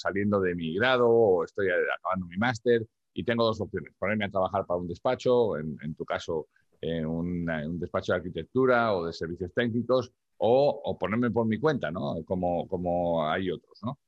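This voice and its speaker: Male interview host, low voice, asks long probing questions, dry close sound. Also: no room sound, lo-fi sound. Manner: probing, builds questions out loud.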